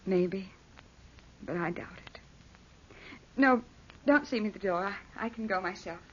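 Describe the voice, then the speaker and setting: An actress playing an earnest, anguished young fiancée in 1940s radio melodrama style, hesitant and tremulous. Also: female voice, medium pitch, measured pace, a bit bright. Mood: sorrowful resolve. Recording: muffled old radio drama recording.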